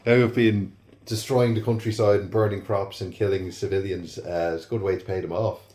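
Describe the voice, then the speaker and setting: A man hosting a podcast, asking the guest measured questions in casual conversation. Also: deep voice, flat delivery, darkish tone, reverberant. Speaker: podcast host.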